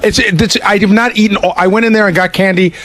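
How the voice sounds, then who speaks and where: Male radio staffer, defensive, argumentative, rapid, slightly high-pitched, close dry sound. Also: steady tone, fairly bright tone, dry recording. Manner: defensive, profane. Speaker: radio show staff member. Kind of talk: casual argumentative banter. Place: radio studio.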